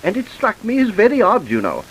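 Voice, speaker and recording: An actor playing a fussy, hesitant and apologetic gentleman witness in an old radio drama. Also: sits high, huge pitch swings, brisk, slight background noise, exaggerated voice, rough recording. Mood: earnest, rambling. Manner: hesitant, polite, rambling, with repeated filler phrases.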